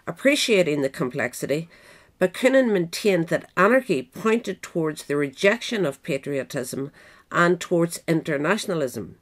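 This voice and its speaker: A woman reading a scripted history essay aloud in a measured, formal manner. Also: lowish voice, animated. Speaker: audiobook reader.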